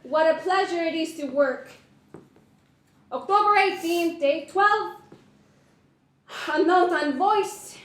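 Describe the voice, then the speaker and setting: A woman playing a high, slow-spoken young actress reading her diary. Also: fairly bright tone, roomy sound, heavily acted. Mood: measured. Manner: reading diary notes, breaking into laughing sobs. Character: young woman actress unraveling under a harsh coach. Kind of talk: audio drama acting.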